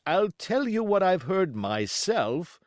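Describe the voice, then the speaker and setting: A man's voice: high voice, very animated, slow pace, no echo, clean studio sound, pitched above the performer's own voice, exaggerated voice. A man voicing a blunt, stern sea captain in an audiobook, speaking formally in clipped, emphatic phrases. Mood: firm, insistent. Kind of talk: audiobook character acting.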